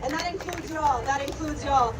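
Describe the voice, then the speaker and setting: High-pitched woman at a noisy crowded rally. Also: brisk, far from the mic. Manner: emphatic, repeats phrases for emphasis. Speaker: rally speaker. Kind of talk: impassioned bilingual protest speech. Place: outdoors at a street protest. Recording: crowded noisy outdoor rally, loud street noise. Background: crowd and vehicle noise.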